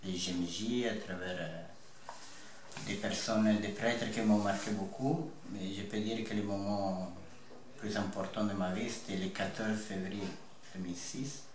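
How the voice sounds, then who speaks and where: A man of twenty-nine from north-east Italy with an Italian accent, giving an earnest personal testimony in halting phrases. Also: on the low side, steady tone. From north-east Italy (Friuli). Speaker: interview guest, seminarian about to be ordained priest. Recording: echoey room with faint background noise.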